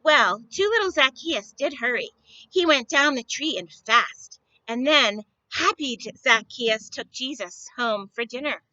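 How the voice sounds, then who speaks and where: Woman, children's storyteller, slow deliberate delivery, expressive phrasing, slightly muffled dry recording. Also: high voice, no room sound. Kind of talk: read-aloud storytelling for young children.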